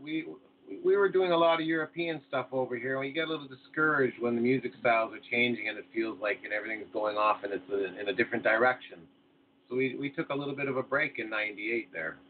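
Male guest on the phone, friendly and relaxed, tinny line with faint hiss. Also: mid-range voice, fast. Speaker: musician guest. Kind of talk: phone interview.

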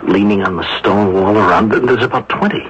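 A man's voice: lowish voice, fairly quick, fairly bright tone, husky. An actor playing a grumbling, skeptical police inspector in a 1970s radio drama, blunt and colloquial in his talk. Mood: surprised, curious. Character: grumbling, skeptical police inspector, the sleuth's plain-spoken sidekick. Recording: muffled, noisy old radio broadcast recording.

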